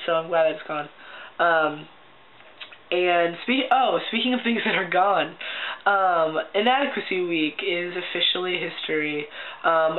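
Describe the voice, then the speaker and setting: A young man with a cracking voice, talking casually and upbeat in a video diary, full of ums and likes. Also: on the high side. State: voice cracking often. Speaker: vlogger. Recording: tinny lo-fi audio with faint hiss.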